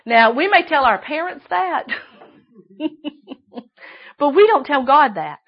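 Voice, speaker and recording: A woman preaching a sermon with a shrill voice, emphatic and repeating phrases for stress. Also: very animated, takes it slowly, dull audio.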